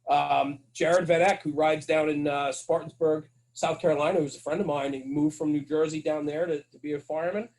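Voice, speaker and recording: A man's voice: fairly high pitch, fairly even intonation, fairly quick, hardly any pauses, a little mellow, poor audio. A man speaking as a podcast guest, telling stories conversationally in an earnest, emphatic way with rhetorical check-ins. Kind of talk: conversational storytelling about firehouse culture.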